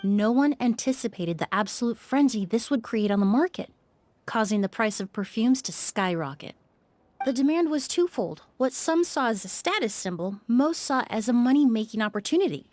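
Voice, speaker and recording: A woman voicing a composed young perfumer in a video game, speaking in a measured, explanatory way. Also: a little high, expressive, a little mellow, slight background noise, close to an everyday voice. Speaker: perfumer with medical knowledge. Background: faint game music.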